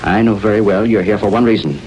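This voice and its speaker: A man portrays a proud judge with his back to the wall in a vintage radio serial. The voice is low, formal and strained, quick to indignation. Light music sits behind it. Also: a little mellow, natural voice, poor audio. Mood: bitter, cornered. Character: troubled male judge, proud, cornered and suspicious. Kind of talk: 1930s-40s radio drama acting.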